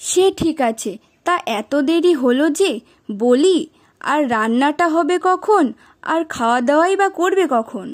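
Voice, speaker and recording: A woman performs a dutiful young housewife in a village cartoon. Her voice is very high for a woman. She speaks in a plain, homely manner as a daughter-in-law of the house. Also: lively intonation, measured pace. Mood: nagging. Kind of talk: Bengali moral-story cartoon dubbing.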